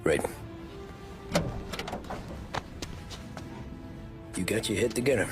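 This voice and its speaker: A man playing a cautious, level-headed American gangster in a video game cutscene, measured, sceptical and wry. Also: fairly low pitch, animated, music in the background, close to an everyday voice. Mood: wry, steady.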